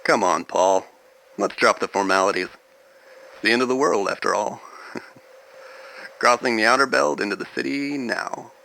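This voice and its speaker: A man's voice: on the low side, moderate pace, creaky, pauses often, bright, forceful tone, a little hiss or hum, low-quality recording. A man playing a reckless, joking, brave bomber pilot over a cockpit radio feed in a radio drama. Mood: wry, joking. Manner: informal, joking.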